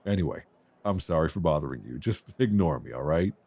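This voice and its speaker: A man's voice: a bass voice, lively intonation, brisk, a little mellow, breathy edge, no echo, heavily acted. A man playing a chatty, flirtatious, self-deprecating traveller in an intimate ASMR romance roleplay. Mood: flirty, sheepish. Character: friendly, flirtatious rough-and-tumble male traveller, a writer. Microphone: close binaural microphone.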